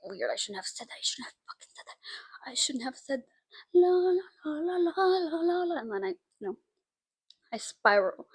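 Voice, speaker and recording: A woman's voice: medium pitch, creaky, dry recording. A young woman from Costa Rica with a mixed Costa Rican and Spanish accent, chatting to camera at home in a rambling, self-deprecating way with lots of fillers. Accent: mix of Costa Rican and Spanish from Spain. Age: young adult. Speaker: vlogger. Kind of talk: casual chat to camera. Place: home room. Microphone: camera mic.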